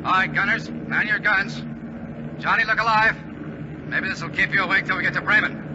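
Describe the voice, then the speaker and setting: A man in a 1940s radio drama playing a brisk, bantering bomber skipper giving clipped commands. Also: high-pitched, fairly even intonation, close to an everyday voice. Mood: brisk, teasing. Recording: noisy muffled 1940s radio broadcast recording. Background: loud aircraft engine noise.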